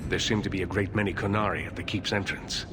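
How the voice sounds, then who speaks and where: A man's voice: low-pitched, fairly even intonation, fast, lightly acted. A man playing a proud, dignified mage leader in a video game, with a formal, pointed manner. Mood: urgent, reasoning. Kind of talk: video game cutscene acting. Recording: clear background ambience noise.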